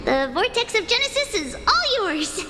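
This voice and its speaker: A woman's voice: extremely high pitch, big pitch swings, moderate pace, sharp tone, slight background noise, full character voice. A woman voicing a cheerful, teasing little companion in a video game, with music behind her. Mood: bubbly, playful. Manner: bubbly, teasing. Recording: game dialogue over background music.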